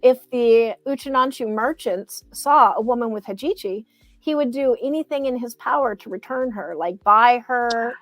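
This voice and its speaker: A woman's voice: a little high, moderate pace, dry recording, low-quality recording. A woman chatting casually and eagerly, rambling with lots of restarts. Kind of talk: casual chat.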